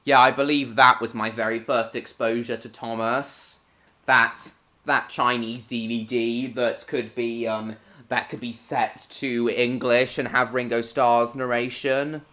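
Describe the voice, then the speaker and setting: A man from Hong Kong hosts a casual gaming live stream, talking to viewers by name in a bright, edgy tone. He rambles and repeats himself as he talks. When the game goes wrong he breaks into swearing. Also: medium pitch, flat delivery, a little slow. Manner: bursts into swearing at game mishaps.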